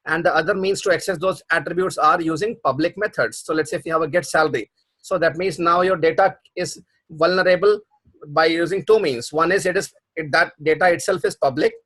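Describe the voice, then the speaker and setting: A man's voice: fairly high pitch, brisk, a bit bright. A middle-aged man teaching a programming class over a video call, explaining patiently with many restarts. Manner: explanatory, repeats himself, frequent restarts and fillers. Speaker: programming instructor. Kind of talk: live online class explaining concepts.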